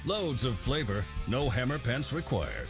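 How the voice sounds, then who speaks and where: A male commercial announcer with a mid-range voice gives an upbeat scripted read. His intonation is animated and swings widely, over a clear music bed. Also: medium pitch, measured pace.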